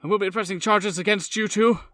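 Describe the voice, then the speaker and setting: A man's voice: high-pitched, fairly even intonation, brisk, fairly bright tone, dry recording, studio quality, voice pushed up. A man voicing an indignant, pompous male university professor in a tabletop game.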